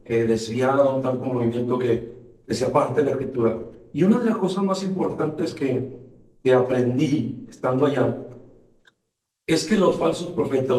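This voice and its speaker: A man's voice: on the high side, darkish tone. A man who is a pastor hosting a radio program, reading scripture and talking with a fervent, emphatic preaching cadence. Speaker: radio show host and pastor. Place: radio studio. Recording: roomy echo, voice distant from mic.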